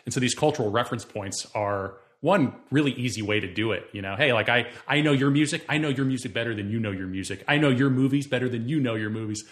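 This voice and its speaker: A man interviewing a guest, speaking in a measured, articulate, reflective way. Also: mid-range voice. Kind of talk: thoughtful interview questions.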